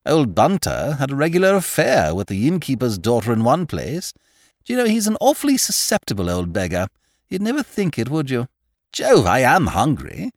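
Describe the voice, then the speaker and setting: Guy doing a chirpy posh english lord, rattles on cheerfully, super lively pitch, dry close recording, audiobook. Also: normal speed, no echo. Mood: chatty, flippant. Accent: upper-class English. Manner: chirpy, slangy, rattling on with exclamations. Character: breezy, flippant young English aristocrat, chattering and cheerful.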